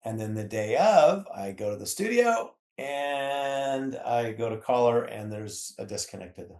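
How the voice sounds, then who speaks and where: A man in his fifties casually recalls his own past as a podcast guest, in a halting, self-confirming way. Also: mid-range voice, expressive, darkish tone. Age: 50s. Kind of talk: casual conversational recollection. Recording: echoey room.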